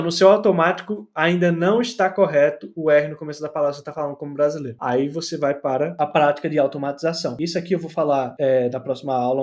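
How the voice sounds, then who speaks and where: A man giving a casual, direct online lesson on English pronunciation and coaching the viewer step by step. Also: on the high side. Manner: direct and coaching, exaggerates mouth movements in demos.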